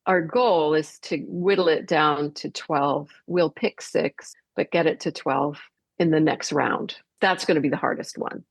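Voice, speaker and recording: Lower-pitched woman explaining in an interview. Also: unhurried, husky, no echo. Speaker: theatre artistic director, interview guest. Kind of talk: conversational radio interview.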